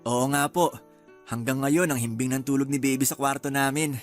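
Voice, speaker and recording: A man's voice: medium pitch, steady tone, moderate pace, faint music behind, close to an everyday voice. An actor with a Filipino accent playing an easygoing young husband and father in a radio drama. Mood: polite, cheerful. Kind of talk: Tagalog radio drama acting.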